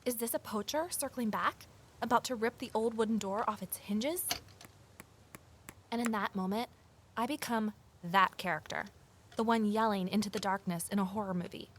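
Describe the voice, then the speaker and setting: A woman's voice: medium pitch, normal speed, slight background noise, natural voice. An actress playing an earnest, self-doubting young woman sleuth in an audio drama, speaking in a wry, conversational way. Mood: tense, anxious. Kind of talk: naturalistic audio drama acting and first-person narration.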